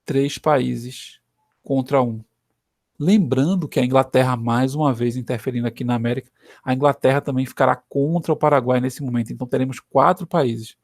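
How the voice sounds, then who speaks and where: A man's voice: medium pitch, moderate pace, darkish tone, dry recording. Brazilian man teaching history, dry sound. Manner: conversational, frequent "né" tag questions. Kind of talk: recorded history lesson.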